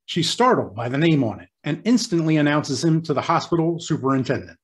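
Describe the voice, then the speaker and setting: A male narrator delivers a scripted old-time radio drama introduction. His voice sits a little high and swings widely in pitch, with a grand, theatrical delivery. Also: very animated, brisk.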